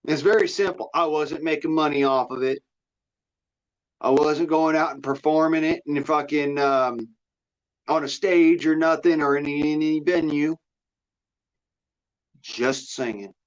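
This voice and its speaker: A man's voice: on the high side, steady tone, a little slow, long pauses. An American man talking in a rambling, casual monologue, blunt, folksy and freely swearing. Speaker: livestream host. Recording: dull, lo-fi audio.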